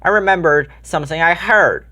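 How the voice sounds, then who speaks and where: A man voicing a clever, observant young schoolgirl detective in a children's storybook, earnest and deliberate. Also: on the high side, pushed and bright. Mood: confident.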